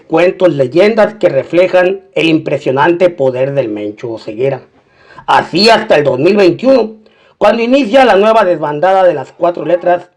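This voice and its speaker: A man's voice: high voice, a little mellow. A man narrating a scripted documentary-style crime story, mixing in colloquial slang as he tells it.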